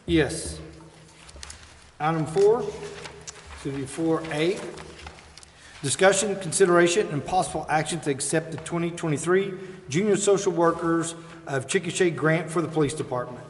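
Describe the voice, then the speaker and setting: A man presiding over a city council meeting, reading agenda items and calling votes in a brisk, businesslike way. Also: a little high. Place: council chambers. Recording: reverberant chamber with faint hiss.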